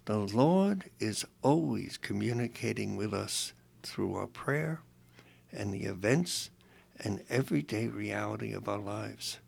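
Man reading a devotional, gravelly voice. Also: fairly low pitch, lively intonation, darkish tone. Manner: measured, earnest reading with a dry humor in banter. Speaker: radio co-host reading a devotional. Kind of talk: reading a devotional text on air.

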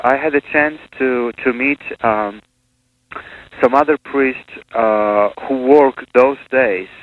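A man from Poland, a priest and missionary, speaking in a radio interview with many hesitations and restarts. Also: mid-range voice. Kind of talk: conversational interview. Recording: tinny phone-line radio with hiss.

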